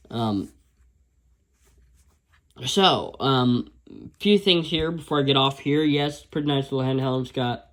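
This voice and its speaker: A man casually reviewing a handheld radio, rambling with lots of fillers and restarts. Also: medium pitch.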